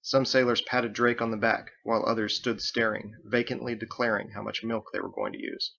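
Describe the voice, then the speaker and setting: Gravelly man reading a story aloud. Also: lowish voice, expressive, a little fast, bright, forceful tone, muffled recording. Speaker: author reading own book. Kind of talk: scripted audiobook narration.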